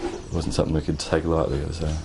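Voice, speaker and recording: Man, very deep, flat, fast, hesitant, outdoors. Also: vocal fry, noisy background. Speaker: treehouse builder. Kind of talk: casual interview answers.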